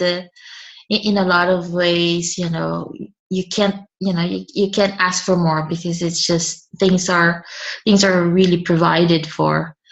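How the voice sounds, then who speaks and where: A woman's voice: fairly low pitch, muffled recording. A woman, a guest speaking hesitantly and often restarting her words. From Philippines. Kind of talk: conversational interview.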